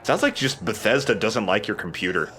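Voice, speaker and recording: A man with a forceful, somewhat low voice gives casual gaming commentary. His pitch moves around a lot and his joking is irreverent and profane. Faint game noise sits behind him. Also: lively intonation, brisk, faint music behind. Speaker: let's play host.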